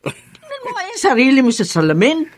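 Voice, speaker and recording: Nagging older filipino mom, radio drama, voice swinging up and down, really dry sound. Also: female voice, sits high, huge pitch swings, fairly quick, no echo, exaggerated voice. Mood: scolding, worried. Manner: nagging, scolding, exclamatory. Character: fretful, bossy elderly Filipino mother and wife. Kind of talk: Tagalog radio drama acting.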